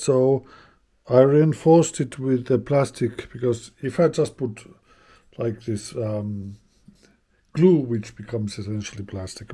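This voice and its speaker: A man casually narrating a hands-on repair as he goes, thinking aloud in a halting way. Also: medium pitch, dark tone. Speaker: host of a vintage radio repair channel.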